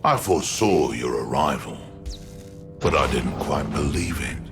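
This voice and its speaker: An actor playing a man possessed by a menacing evil emperor in a video game, ominous and deliberate. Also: rumbling low, expressive, soft background music. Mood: sinister greeting. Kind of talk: video game villain acting.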